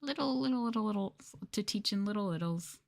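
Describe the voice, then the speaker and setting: A young adult woman chatting casually and softly as an ASMR streamer, candid and mildly sweary. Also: medium pitch, expressive, a little fast. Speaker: VTuber streamer. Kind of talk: soft-spoken ASMR casual chat.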